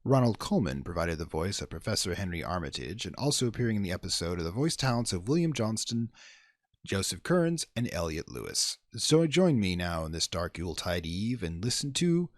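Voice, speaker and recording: A man's voice: deep voice, big pitch swings, brisk, no room sound, studio quality. A man hosting a horror radio show, reading a scripted introduction in a theatrical, showman-like manner. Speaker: host.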